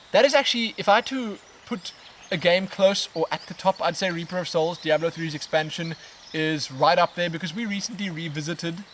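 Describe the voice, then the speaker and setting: A man, casually answering viewer questions in a relaxed, expressive, rambling way as a vlog host. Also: on the high side. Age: 20s. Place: outdoors on a riverbank in woodland. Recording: outdoor natural background noise, birds. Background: outdoor ambience with birds.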